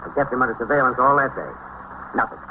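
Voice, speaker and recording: Actor as fast-talking detective captain, old radio. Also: male voice, medium pitch, expressive, lightly acted. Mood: matter-of-fact. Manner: clipped, matter-of-fact case reporting. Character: determined male safe squad detective captain. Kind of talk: 1950s radio drama acting. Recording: noisy old radio recording, dull band.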